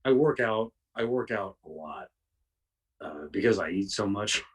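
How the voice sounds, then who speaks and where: Podcast guest: man, raspy and very low, slangy and self-mocking, sounding distant from the mic. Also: expressive, a little slow. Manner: self-deprecating, frequent slang and swearing. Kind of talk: casual interview chat.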